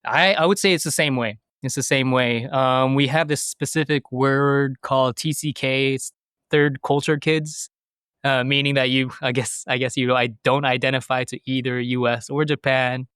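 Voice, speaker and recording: A man's voice: medium pitch, steady tone, moderate pace, a bit bright, clear, resonant tone, no echo, clean studio sound. A man in his thirties from the United States, a podcast guest answering questions thoughtfully with frequent fillers and restarts. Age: 30s. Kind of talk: conversational interview.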